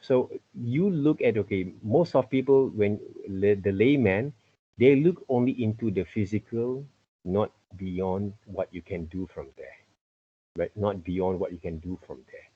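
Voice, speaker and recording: A middle-aged man with a husky voice teaching an informal online lesson, repeatedly checking with "okay" and "right". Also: on the low side, measured pace. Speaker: teacher, art and character design tutor. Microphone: computer mic on a video call. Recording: muffled video-call audio.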